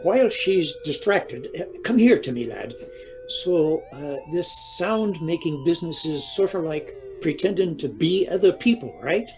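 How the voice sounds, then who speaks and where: An actor playing a grumbling, teasing older Irish husband in an audio comedy drama, with an Irish accent and a dry manner. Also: male voice, a little high, animated, unhurried, a crowd in the background, rough recording. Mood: sceptical, sly.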